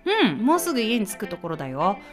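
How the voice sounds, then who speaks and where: A woman's voice: medium pitch, wildly swooping pitch, fast, faint music behind, pitched below the performer's own voice. A woman playing a sweet young woman who misses her long-distance boyfriend, talking affectionately on the phone in an amateur radio drama. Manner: soft, affectionate phone talk.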